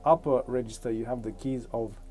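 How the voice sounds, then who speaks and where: A man's voice: medium pitch, fairly even intonation, fairly quick, warm tone. A man from Romania giving a casual music lesson, thinking aloud and correcting himself as he explains. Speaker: music teacher.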